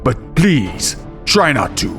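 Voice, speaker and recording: A cold, manipulative middle-aged father in an audio melodrama. His voice sits at a medium pitch, stern and condescending, over clearly audible music. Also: big pitch swings, slow pace. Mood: smug, scheming. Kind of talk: melodramatic audio drama acting. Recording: dramatic music behind the voice.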